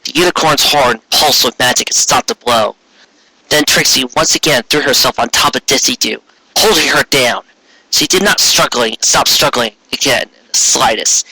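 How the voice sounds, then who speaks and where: A man's voice: medium pitch, normal speed, bright, forceful tone, husky, rough recording. A man reading a scripted fan fiction story aloud as its narrator.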